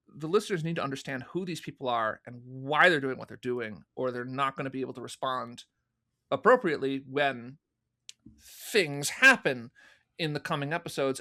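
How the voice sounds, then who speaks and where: A man being interviewed as a guest, explaining his creative choices in a thoughtful, articulate but halting way, stressing key words. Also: medium pitch, bright, forceful tone. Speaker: interview guest, audio drama producer and writer.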